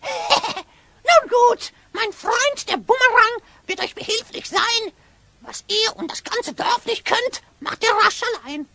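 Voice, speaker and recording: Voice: very high voice, expressive, takes it slowly, vocal fry, pushed and bright, a little hiss or hum, full character voice. A performer playing a sly, self-serving hyena spy in a children's radio play, speaking in oily rhymes and cackling. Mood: commanding, theatrical.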